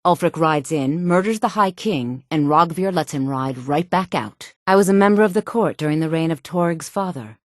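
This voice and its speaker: A woman voicing a sultry, sharp-tongued, disdainful townswoman and courtier in a fantasy video game. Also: low voice, expressive, moderate pace, hardly any pauses, dry recording, studio quality. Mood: grave, accusing. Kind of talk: video game character dialogue.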